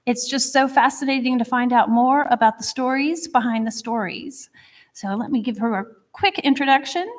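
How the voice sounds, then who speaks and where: A woman hosting a history society talk, chatty and upbeat as she makes announcements and introduces the speaker to a live audience. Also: a little high. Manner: chatty, upbeat, a little rambling. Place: lecture room before an audience. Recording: long hall reverb.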